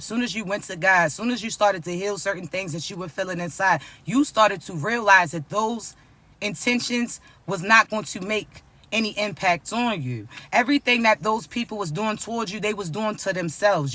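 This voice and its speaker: A raspy, fairly high-pitched man delivers a motivational spiritual message. His tone is bright and pressing, and he keeps checking in with his listeners, calling them chosen ones. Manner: repeats tag questions like right and you understand me. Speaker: spiritual reader.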